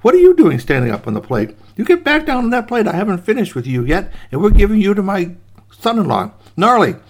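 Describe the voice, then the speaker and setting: A man's voice: high-pitched, wildly swooping pitch, fairly quick, a little hiss or hum, voice pushed up, heavily acted. A man voicing a bossy, flustered mother who scolds and exclaims in a children's story. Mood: indignant.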